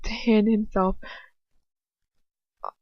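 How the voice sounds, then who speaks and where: A woman's voice: mid-range voice, level tone, takes it slowly, no echo, muffled recording. A woman reading fan fiction aloud like an amateur audiobook, informally, stumbling and correcting herself now and then. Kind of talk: audiobook-style story reading with casual asides.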